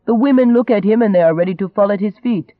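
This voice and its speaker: A man's voice: high voice, quick pace, no room sound, phone-quality sound, lightly acted. A man voicing a charming young nobleman in an audiobook, a teasing and cajoling rogue who stays unruffled. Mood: mock self-pity.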